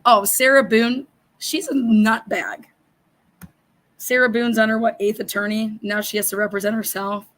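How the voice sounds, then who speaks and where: Hoarse, blunt woman ranting on a livestream. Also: on the high side, fairly even intonation, moderate pace, a bit bright, rough recording. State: hoarse, voice gone raspy. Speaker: livestream host. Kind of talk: casual livestream chat reading viewer comments.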